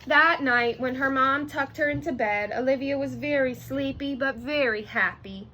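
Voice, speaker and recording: A woman reading a children's story aloud in an expressive narrating style. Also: high voice, moderate pace, a little hiss or hum. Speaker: storybook reader.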